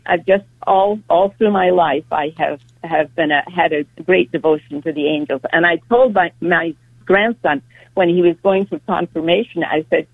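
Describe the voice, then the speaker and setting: A woman's voice: on the low side, dry recording. A woman of ninety calling a radio show by phone, chatty and devout, laughing easily as she talks. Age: older. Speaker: caller. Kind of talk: phone-in chat. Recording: tinny phone line.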